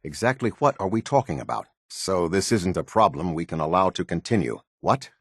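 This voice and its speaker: Low-voiced, slightly husky military captain, strict and formal, issuing clipped orders for a video game. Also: male voice, sing-song, fairly quick, dry recording, studio quality, heavily acted. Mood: skeptical, probing. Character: stern, disciplined male military captain giving orders. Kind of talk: scripted video game dialogue.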